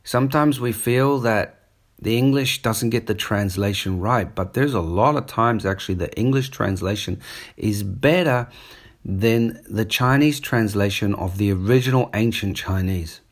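A man's voice: lowish voice, measured pace. A man with a New Zealand accent who talks in a casual, rambling monologue and often drifts off topic. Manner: rambling, digressive, conversational. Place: on holiday up the coast in Australia.

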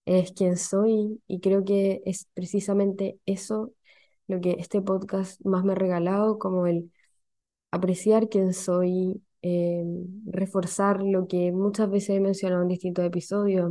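A young Chilean woman hosts a reflective podcast in a slow, soothing way. Her voice is mellow and sits fairly low, with a flat, even pitch and an unhurried pace. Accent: Chilean Spanish. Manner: slow, gentle and soothing, guides breathing. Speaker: podcast host. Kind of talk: calm reflective podcast monologue.

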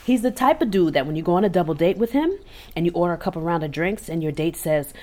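Low-voiced woman co-hosting a podcast. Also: quick pace, darkish tone. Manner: conversational storytelling, emphatic asides. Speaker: podcast co-host. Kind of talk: casual podcast commentary.

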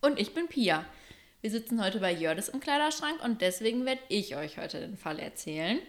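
A woman's voice: medium pitch, sing-song, moderate pace. A woman co-hosting a podcast in casual, colloquial and reactive chat. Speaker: podcast co-host.

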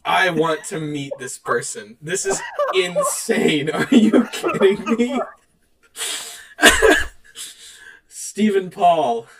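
A man with a fairly high voice co-hosts a podcast in a loose, conversational style. He is animated and laughs readily as he talks. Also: very slow, gravelly, long pauses, background noise. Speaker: podcast co-host. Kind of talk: casual chat, reading out online reviews.

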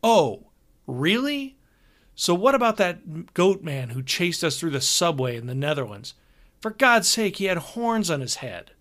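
A man's voice: medium pitch, animated, moderate pace. A man voicing a hot-tempered young rock musician who speaks sharply. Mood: exasperated. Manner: sharp, exasperated.

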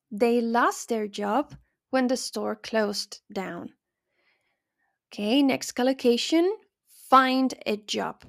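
Slow, clear woman teaching English. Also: a little high. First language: Spanish. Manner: careful, clear teaching delivery with example sentences. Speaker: podcast host and English teacher. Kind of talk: scripted English lesson podcast.

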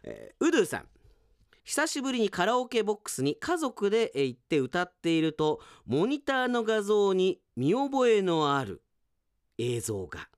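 A man hosting a radio show, chatting casually as he reads out listener mail and comments on it in an animated, chatty way. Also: high voice, moderate pace, clear, resonant tone, no echo, studio quality. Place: dry radio studio.

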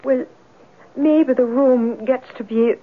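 A fretful rooming-house landlady in an old-time radio play. The voice is fairly high and rough-edged, hesitant but insistent. It sounds thin and phone-like. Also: takes it slowly. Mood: worried, pleading.

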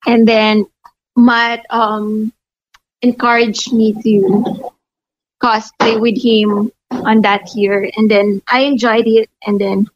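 Filipino woman, podcast guest, slow and brief, higher pitch, muffled recording. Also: little pitch movement, slight background noise. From Philippines. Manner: brief, shy answers with soft laughs. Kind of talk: casual interview chat.

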